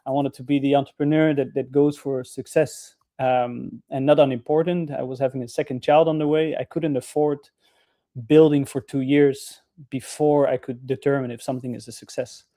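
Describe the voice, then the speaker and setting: Flat, mellow-voiced Dutch-born man. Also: medium pitch, moderate pace. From Netherlands. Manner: earnest, long winding sentences. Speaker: franchise partner, guest speaker. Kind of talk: webinar storytelling. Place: office.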